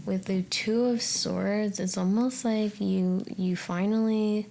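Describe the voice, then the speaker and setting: A woman's voice: medium pitch, steady tone, takes it slowly, creaky, dark tone, slight background noise. A woman giving an unscripted tarot forecast straight to the viewer, musing aloud in a tentative way as she interprets each card. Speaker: tarot reader and channel host.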